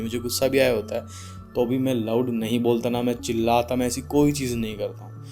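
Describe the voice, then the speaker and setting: A young man with an Indian accent talks like an informal speaking coach over faint guitar music. His voice is deep, with a mid-range pitch for a man. He stays calm and unforced, sprinkles in English words and pauses deliberately for emphasis. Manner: mixes English words into speech. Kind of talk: informal advice talk to camera.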